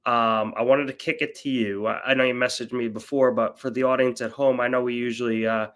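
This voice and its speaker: A man's voice: mid-range voice, steady tone, a little fast, fairly bright tone. A young adult man hosting a casual sports betting chat, a little nasal from a cold, upbeat and full of fillers and restarts. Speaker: host.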